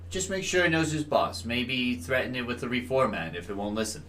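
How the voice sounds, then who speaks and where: An actor playing a casual, unhelpful older husband talking on the phone in an audio drama. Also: male voice, medium pitch, brisk, bright, forceful tone, slight background noise. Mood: breezy, matter-of-fact. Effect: phone call.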